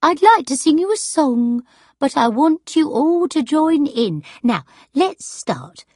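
Woman as an eccentric, high-voiced old lady. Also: sing-song, a little mellow, no echo, studio quality, full character voice. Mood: grand, gracious. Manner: crazy high voice, drawn-out delivery. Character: eccentric old woman of eighty, grand and moody.

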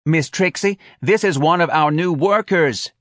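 An audiobook office manager, as a male narrator puts him on, lifted well above a natural speaking pitch. He is effusive and anxiously apologetic, eager to please, and recorded very dry. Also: unhurried, fairly bright tone, no room sound. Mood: eager welcome. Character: dapper, eager, flustered middle-aged male office manager.

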